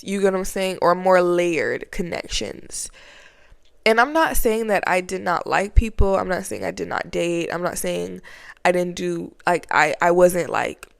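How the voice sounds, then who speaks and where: A woman's voice: on the low side, creaky, no echo, studio quality. A woman talking casually to camera in a sit-down vlog, chatty and rambling, a bit sniffly from a cold. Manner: chatty, rambling, frequent fillers and slang. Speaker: vlogger. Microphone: camera mic, sit-down setup.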